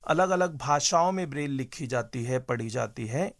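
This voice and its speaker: A man giving measured, halting explanations as an interview guest, mixing in English terms. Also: mid-range voice, lively intonation.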